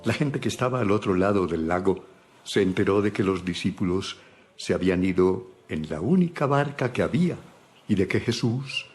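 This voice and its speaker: Very deep-voiced man narrating scripture. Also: a little mellow. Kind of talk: scripted dramatized Bible narration. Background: background music.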